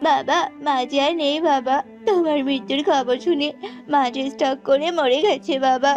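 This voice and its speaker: A woman's voice: high voice, fairly even intonation, normal speed, lightly acted. A woman voicing a helpless young jackal boy in a whiny, pleading cartoon style. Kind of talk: cartoon voice acting. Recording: muffled, music underneath.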